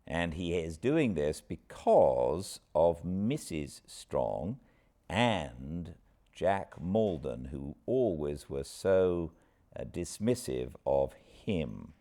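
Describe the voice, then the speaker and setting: A British man hosting an informal book recap with an expressive storyteller's delivery. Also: rumbling low, drawn-out words. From Britain. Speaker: host, audiobook narrator.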